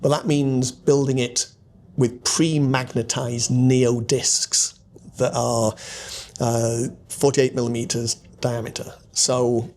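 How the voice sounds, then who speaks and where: A man's voice: mid-range voice, little pitch movement, slow pace, a little mellow, a little hiss or hum. Older man explaining technical design. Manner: explanatory, poses questions and answers them. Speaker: loudspeaker designer being interviewed. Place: hotel demo room at an audio show. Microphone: small handheld mic.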